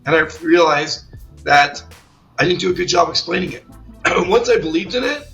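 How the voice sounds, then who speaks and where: A man's voice: fairly high pitch, animated, normal speed, vocal fry, fairly bright tone, dull audio, faint music behind. A man hosting a conference interview, a little under the weather, talking in an enthusiastic, rambling way with many restarts. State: slightly ill, voice a bit off. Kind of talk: casual interview chat.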